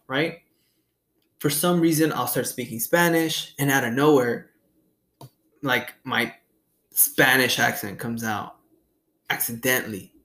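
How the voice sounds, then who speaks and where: A man's voice: mid-range voice, a bit bright. A young man hosting a casual solo podcast, rambling and swearing freely in a laid-back way. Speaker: podcast host.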